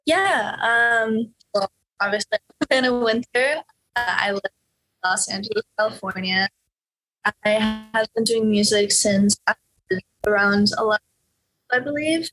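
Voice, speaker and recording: A woman's voice: medium pitch, measured pace, fairly bright tone, faint music behind, low-quality recording. Hesitant teenage girl from Norway. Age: teens. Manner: hesitant, many fillers and restarts. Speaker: interview guest, young musician. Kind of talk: casual interview answers.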